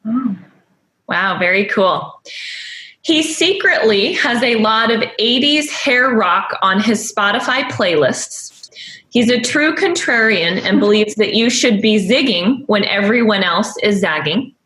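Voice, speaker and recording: A woman's voice: mid-range voice, lively intonation, measured pace, bright, forceful tone. Upbeat woman hosting a webinar, roomy sound. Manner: upbeat, reads from notes. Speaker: webinar host. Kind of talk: scripted webinar introduction. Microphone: video call. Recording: echoey room.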